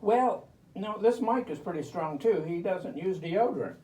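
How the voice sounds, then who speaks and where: A man plays a naive, slow-witted puppet sidekick with a higher voice that swoops up and down. He sounds hesitant and curious, in a roomy, distant comic puppet-show recording. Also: lively intonation, dark tone. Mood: dopey, earnest. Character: dim, curious, easily distracted puppet sidekick.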